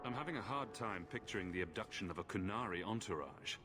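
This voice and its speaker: An actor playing a direct, skeptical adventurer hero in a video game, with a dry, questioning delivery. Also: male voice, fairly low pitch, animated, quick pace, music in the background, natural voice.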